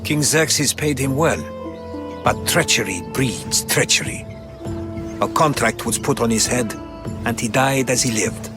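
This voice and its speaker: A male actor voices a learned, secretive older Greek traveller and historian for a video game. He talks like a measured storyteller at a middling male pitch. Music plays clearly behind him. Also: natural voice. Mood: solemn, reflective. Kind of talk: dramatic video game dialogue.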